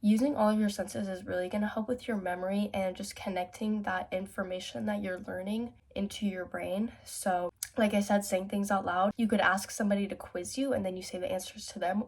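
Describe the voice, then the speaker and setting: A woman's voice: medium pitch, steady tone, normal speed, hardly any pauses, a little mellow. A teenage girl with a stuffy, congested voice from a cold, chatting upbeat study tips at home for her vlog. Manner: chatty, self-talking, upbeat. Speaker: student vlogger.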